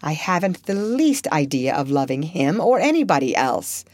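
A woman voicing a frank, warm-hearted young woman gently refusing a friend, in a storybook reading. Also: deep voice, very animated, normal speed, dry recording. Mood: firm. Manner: earnest, remorseful.

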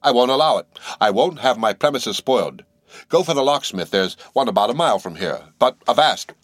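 A man voicing a bossy middle-aged innkeeper woman who scolds and gives orders briskly. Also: medium pitch, very animated, a bit bright, dry recording, studio quality, voice pushed up. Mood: commanding.

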